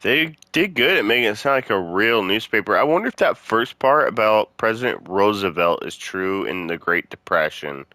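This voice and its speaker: Low-voiced man with a bright, forceful edge. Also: lively intonation, moderate pace, hardly any pauses, dry recording. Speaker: gaming video host. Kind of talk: casual game playthrough commentary.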